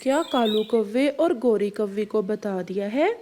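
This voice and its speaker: A woman's voice: medium pitch, lively intonation, measured pace, warm tone, slight background noise, pitched below the performer's own voice, lightly acted. A woman voicing a sober, anxious pigeon friend in a children's cartoon. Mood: questioning.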